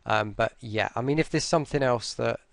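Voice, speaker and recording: A tired man giving a casual, unscripted software walkthrough in a hesitant, low-key, rambling way, speaking close to the mic. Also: a little fast, vocal fry, dry recording. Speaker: voice pack creator presenting an update.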